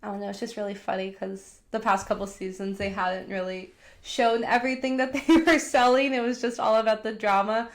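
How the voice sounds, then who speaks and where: A woman's voice: medium pitch, fairly even intonation, fairly quick. A woman hosting a podcast, recapping pop culture in a casual, gossipy way. She fills her talk with "like" and sounds congested from a cold. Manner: chatty, heavy use of "like", gossipy retelling. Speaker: podcast host.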